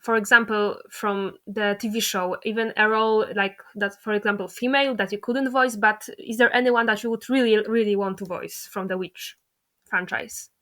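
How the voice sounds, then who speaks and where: A woman hosting a casual interview, speaking in a halting, appreciative way. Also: medium pitch, steady tone, bright, forceful tone. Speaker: interviewer, host.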